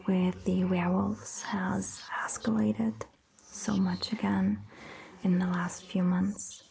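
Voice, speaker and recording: A woman whispers gently and with long pauses in an ASMR roleplay, playing a soothing vampire doctor close to the microphone. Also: medium pitch, creaky, a little hiss or hum. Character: attentive, soothing female vampire doctor, centuries-old clan member.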